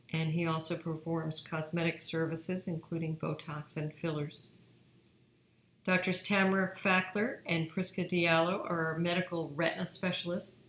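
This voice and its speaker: A woman in her fifties, an eye surgeon hosting a webinar, reading her presentation in a measured way. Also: deep voice, a bit bright, roomy sound, slight background noise, rough recording. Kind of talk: read-aloud presentation narration.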